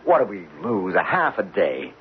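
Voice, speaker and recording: An actor playing a keen, earnest geologist in a 1970s radio drama, with an animated manner. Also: male voice, deep voice, moderate pace. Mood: eager, urgent. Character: keen, earnest male geologist on a drilling crew. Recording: muffled, noisy old radio broadcast.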